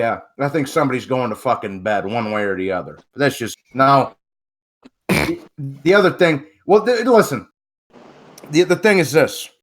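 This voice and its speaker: A man's voice: medium pitch, a bit bright. A man calling in to a podcast, talking casually in a rambling, emphatic way full of swearing. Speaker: caller. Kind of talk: casual call-in chat.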